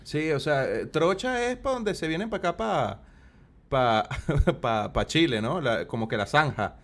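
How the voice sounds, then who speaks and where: A man's voice: a little high, lively intonation, measured pace. A man, co-hosting a casual podcast chat, joking and laughing often. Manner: laughs often, jokey, bursts into loud playful exclamations. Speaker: podcast co-host.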